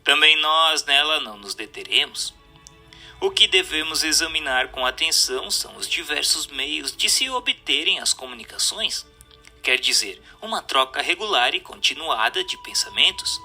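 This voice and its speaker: Male Brazilian narrator, higher-set and very bright, sharp tone; formal didactic audiobook read with faint music underneath. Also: big pitch swings. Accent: Brazilian Portuguese. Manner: formal, measured, didactic reading. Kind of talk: scripted narration of a spiritual text.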